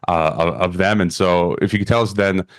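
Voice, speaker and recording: A male podcast host asking interview questions in a halting way, repeating words as he goes. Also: low-pitched, fairly even intonation, no room sound, clean studio sound.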